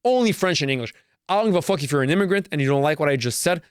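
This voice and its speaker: A man's voice: fairly high pitch, expressive, very fast, clean tone, dry recording, studio quality. A man from Canada whose first language is Serbo-Croatian hosts a solo podcast, delivering a profane, repetitive and emphatic rant. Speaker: podcast host.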